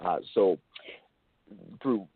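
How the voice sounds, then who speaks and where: A man hosting an interview, asking long, wordy, bookish questions in a halting way. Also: low-pitched, animated, over a noisy crowd. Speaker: interviewer and show host. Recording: thin phone-like call audio.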